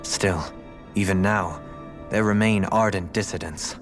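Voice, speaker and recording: A courteous young nobleman from a game drama. His voice sits low, and he speaks in a formal, measured, solemn way. Music plays clearly behind him. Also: fairly even intonation. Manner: formal, measured, tense when asking favors. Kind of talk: dramatic video game cutscene acting.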